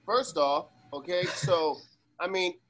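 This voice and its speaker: Halting, slangy man from Las Vegas. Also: sits high, fairly bright tone, slight background noise. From Las Vegas, USA. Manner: loose, halting, emphatic repetition, slangy. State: ill with pneumonia. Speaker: guest, socialist activist. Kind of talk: casual interview talk.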